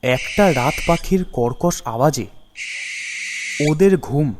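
A man narrating a scripted ghost story in a dramatic, deliberate way. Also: fairly high pitch, animated, background noise. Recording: music behind the voice.

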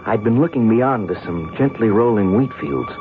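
An actor playing a young skeptical newspaper writer in a 1970s radio drama. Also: male voice, mid-range voice, expressive, normal speed, natural voice. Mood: awed, tense. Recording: tinny, noisy old radio broadcast.

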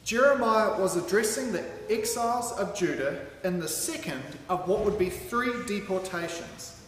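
High-pitched man preaching in an echoey hall. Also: expressive, moderate pace, a bit bright. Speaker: sermon reader. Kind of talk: sermon read aloud from a script. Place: large hall with curtained stage, indoors. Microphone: microphone on a stand at a lectern. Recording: very echoey hall with some background noise.

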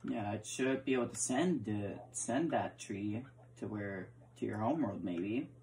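A woman's voice: a bass voice, measured pace, warm tone, slight background noise. A woman chatting casually while role-playing a block-building game, often stammering and repeating her words, recorded indoors by a camera aimed at the screen. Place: indoor room, filming a TV screen.

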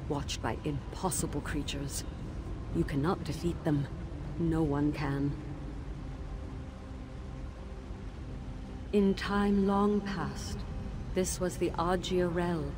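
A weary ghost of a woman teacher, bound in torment and speaking slowly and gravely. Her voice sits a little low, and steady noise runs under the game audio. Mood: sorrowful. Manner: sorrowful, measured and grave. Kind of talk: video game voice acting.

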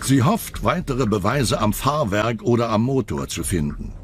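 A man's voice: mid-range voice, sing-song, normal speed. A man reading scripted narration for a true-crime documentary. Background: background music.

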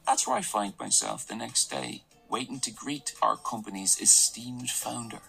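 Sarcastic thirties office analyst, gravelly and bright-edged, bit of music under it, radio play. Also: male voice, medium pitch, moderate pace, exaggerated voice, low-quality recording. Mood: wry. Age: 30s. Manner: sardonic, dry narrating asides. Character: wry male office analyst in his thirties. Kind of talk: radio drama narration and dialogue.

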